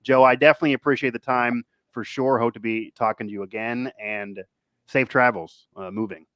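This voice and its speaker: A man's voice: medium pitch, steady tone, a little fast, a bit bright, dry recording. Rambling male sports host, dry close sound. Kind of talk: casual sports talk and interview.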